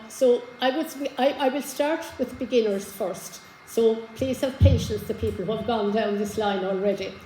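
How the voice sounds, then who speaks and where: A hoarse woman giving an informal genealogy talk to a live audience, chatty, with many fillers and restarts. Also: fairly high pitch, dark tone, reverberant, a little hiss or hum, low-quality recording. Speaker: genealogy speaker. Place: community hall with an audience. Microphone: handheld microphone.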